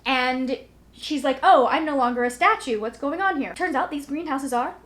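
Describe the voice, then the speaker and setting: A woman's voice: sits high, animated, normal speed, a bit bright. A woman talking casually to viewers as a book vlogger, in a rambling, conversational, self-deprecating way. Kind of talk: casual chatty vlog, recapping to viewers.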